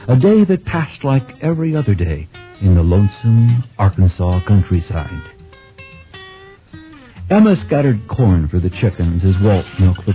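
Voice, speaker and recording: A folksy male narrator of a radio play. His voice is fairly low and rises and falls dramatically. Faint music sits behind the tinny, phone-like recording. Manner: measured, storytelling.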